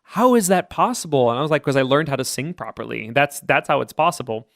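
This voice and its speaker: A man talks through choir voice types in a relaxed, explanatory podcast chat, often hedging his points. His voice sits at an ordinary middle pitch. The sound is dry and close, with no room around it. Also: fast, vocal fry, no room sound. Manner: thoughtful, explanatory, hedging with "I would say". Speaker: podcast co-host, choir teacher.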